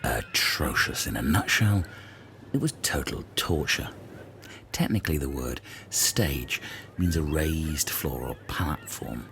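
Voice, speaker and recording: A man's voice: extremely low pitch, lively intonation, takes it slowly, fairly bright tone, slight background noise, pitched below the performer's own voice, heavily acted. A man voicing a pompous, pedantic male talent show judge who speaks with disdain. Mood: disdainful.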